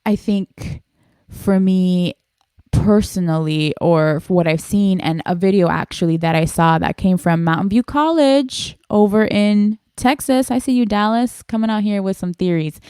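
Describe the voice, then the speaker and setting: Smooth-voiced woman co-hosting a podcast. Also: fairly low pitch, a little slow, no echo, clean studio sound. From Panamanian family. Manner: animated, leads the discussion, switches into Spanish for quotes. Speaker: podcast co-host. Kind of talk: casual podcast chat.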